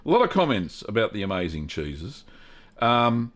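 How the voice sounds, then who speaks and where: A middle-aged man hosting a casual cheese-making livestream, chatty and upbeat, reading out viewer comments and filling pauses with ums. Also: lowish voice, sing-song, a bit bright. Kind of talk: casual live-stream chat.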